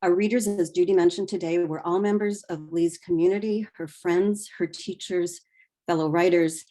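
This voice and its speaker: A woman speaking as host at a literary reading, giving a measured, articulate prepared introduction. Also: lowish voice, fairly even intonation, mellow. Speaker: event host introducing readers.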